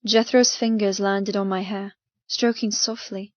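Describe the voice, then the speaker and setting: A woman narrating first-person fiction as an audiobook, in a measured, dramatic manner. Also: medium pitch, flat delivery, no echo, lo-fi sound.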